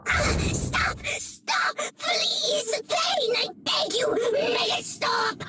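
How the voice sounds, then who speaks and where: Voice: extremely high pitch, expressive, very slow, far from the mic, loud music behind the voice, heavily acted. An actor playing a cowardly, whiny male villain henchman in a video game, theatrical and melodramatic in delivery. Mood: agonized, panicked. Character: cowardly, whiny villainous male cultist minion under interrogation.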